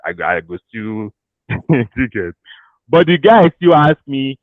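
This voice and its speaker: A Nigerian man giving career advice to an audience in a casual, animated way, slipping into pidgin and laughing often. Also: medium pitch, slow pace, no echo. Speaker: career coach. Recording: thin phone-like dry audio.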